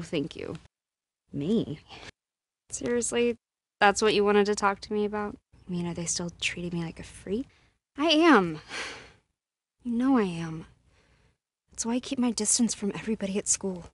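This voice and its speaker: An actress voicing a guarded, sarcastic, distressed young American woman with powers in a video game, speaking in a breathy, emotionally expressive way. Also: medium pitch, moderate pace, pauses often, close to an everyday voice. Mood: bitter, sarcastic. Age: young adult.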